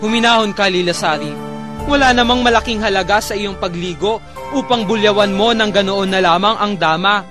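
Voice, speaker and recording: Casting: actor as courtly, adoring royal husband, high male register, formal radio-drama delivery over music. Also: fairly quick, lightly acted. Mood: chiding. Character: doting, patient sultan and husband. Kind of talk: melodramatic radio-drama acting. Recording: music behind.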